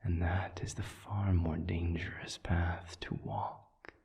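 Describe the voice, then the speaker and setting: A man voicing a calm, wise demon counselor in an intimate audio roleplay, soft and breathy, speaking in measured phrases with deliberate pauses. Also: heavily acted. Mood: soothing, earnest. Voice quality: breathy, whispery, very low.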